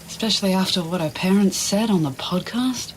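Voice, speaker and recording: An actress playing an easygoing, tactful restaurant worker in an audio drama, talking casually. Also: female voice, mid-range voice, a little fast, slight background noise, lightly acted, rough recording. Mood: tactful, reluctant.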